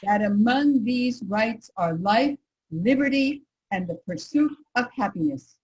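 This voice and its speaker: Earnest female reformer of the 1800s, woman performer, strongly husky, slightly high, formal recitation. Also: expressive, unhurried, darkish tone, rough recording. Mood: earnest, resolute. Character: earnest, troubled 19th-century women's rights reformer. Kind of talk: reader's theater recitation.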